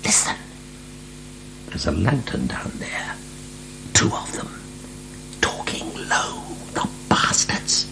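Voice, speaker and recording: An actor in a radio drama playing an imprisoned marquis, a defiant, contemptuous and morbid philosopher, theatrical and sneering. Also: male voice, takes it slowly, long pauses, natural voice. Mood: sneering, intense. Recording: hissy archived radio drama.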